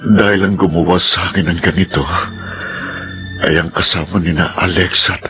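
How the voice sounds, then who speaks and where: A man playing a weakened, forgiving middle-aged father speaking haltingly in a Filipino radio drama. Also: sits low, fairly even intonation, a bit bright, soft background music. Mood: pained, resigned. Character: battered, forgiving middle-aged father, weak but gentle.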